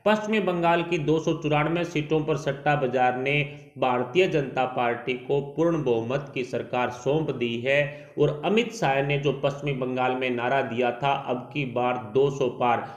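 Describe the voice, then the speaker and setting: A man's voice: mid-range voice, moderate pace, hardly any pauses. A man narrating a scripted report in a news style. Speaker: narrator of an election survey report. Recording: dull, echoey voice-over recording.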